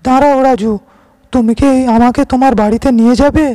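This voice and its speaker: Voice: high voice, steady tone, fairly quick, dry recording, lightly acted. An actor voicing a kindly, assured rich businessman in a cartoon moral story, speaking courteously. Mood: friendly. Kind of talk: Bengali animated moral story dialogue. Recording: loud music under the voice.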